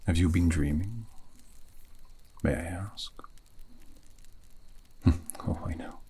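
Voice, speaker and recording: A man playing a gentle, courteous elven mage in a whispered ASMR fantasy roleplay, with breathy, husky delivery and formal, archaic, soothing phrasing. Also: low-pitched, slow, slight background noise. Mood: gentle, wry.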